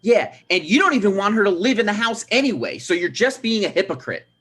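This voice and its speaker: A man's voice: high-pitched, very animated, brisk, sharp tone, husky, full character voice. A man playing a touchy, aggrieved mayoral candidate and grown son in a comedic table read, earnest and exasperated. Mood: hurt, irritated.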